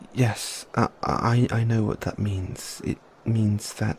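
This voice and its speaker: A man playing a tender, excitable mad scientist boyfriend in an audio roleplay, speaking in a halting, geeky manner. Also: mid-range voice, creaky, slight background noise. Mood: gentle, sorrowful. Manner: halting, geeky, swinging between gentle and eager. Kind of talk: scripted audio roleplay to listener.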